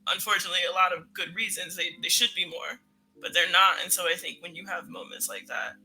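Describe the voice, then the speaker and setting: A woman co-hosting a podcast, chatting in an animated way with laughter and frequent "right?" tags. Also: fairly low pitch, fast talker, faint music behind, low-quality recording. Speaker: podcast co-host. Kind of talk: casual podcast chat.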